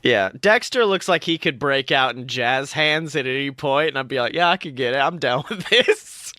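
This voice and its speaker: A man co-hosting a podcast in casual pop-culture chat, animated, slangy and given to swearing. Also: mid-range voice, moderate pace, no echo, studio quality. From United States. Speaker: podcast co-host.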